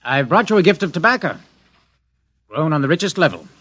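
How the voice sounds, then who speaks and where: An actor playing an earnest young scientist cadet, speaking with formal courtesy in an old radio drama. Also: male voice, fairly high pitch, big pitch swings, a little fast, heavy background noise. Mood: polite, respectful. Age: young adult. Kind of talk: 1950s radio drama acting.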